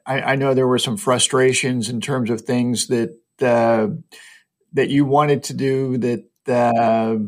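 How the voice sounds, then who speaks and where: A man hosting a business podcast, asking long questions with many uhs and repeated words. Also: medium pitch. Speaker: podcast host. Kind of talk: casual interview questions.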